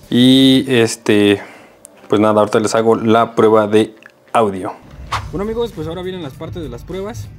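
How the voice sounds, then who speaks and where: A man with a Mexican accent giving a casual, slangy hands-on tutorial as a motorcycle vlogger. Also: mid-range voice. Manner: informal, slangy. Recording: loud music behind the voice.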